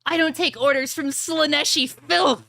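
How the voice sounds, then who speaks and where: A woman's voice: high voice, fairly even intonation, moderate pace, creaky, sharp tone, voice pushed up, exaggerated voice. A woman voicing a defiant, bloodthirsty male warrior, brash and contemptuous, in a tabletop roleplaying game. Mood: angry defiance.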